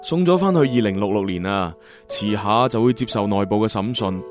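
An actor with a Hong Kong Cantonese accent playing a cocky, boastful young agent from the future in a radio drama. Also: male voice, fairly low pitch, a little fast. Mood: calm, serious. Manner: animated, boastful storytelling. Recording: music behind the voice. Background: background music.

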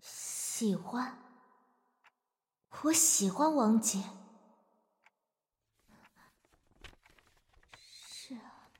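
A woman playing a timid, lovestruck young woman in a Chinese audio drama, earnest, anguished and halting. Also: a little high, expressive, darkish tone, slightly rough, no room sound, exaggerated voice. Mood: anguished realization. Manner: hesitant, halting, emotional. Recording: music behind the voice.

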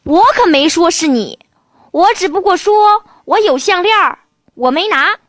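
A woman's voice: very high voice, expressive, a little slow, a bit bright, no room sound, clean studio sound, full character voice. A woman playing a plump, spiteful, haughty shop clerk in a radio drama, snide and accusing.

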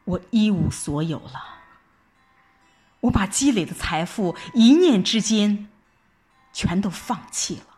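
A woman's voice: mid-range voice, animated, slow pace, pauses often, darkish tone, slightly rough, faint music behind. A woman giving an emotive, dramatic first-person narration of a scripted story, like an audiobook reader. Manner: emotive, theatrical delivery.

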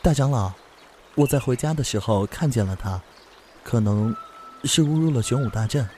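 A man's voice: mid-range voice, expressive, normal speed, slight background noise, lightly acted. An actor playing a cheerful, good-hearted young man who chats eagerly and laughs easily in an audio drama. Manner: bright, chatty, laughs easily.

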